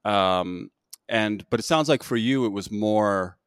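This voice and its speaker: A man's voice: on the low side, a little slow, smooth, no echo, studio quality. A man hosting an interview, talking in a loose, rambling way with many restarts and fillers. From United States. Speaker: podcast host. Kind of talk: casual interview chat.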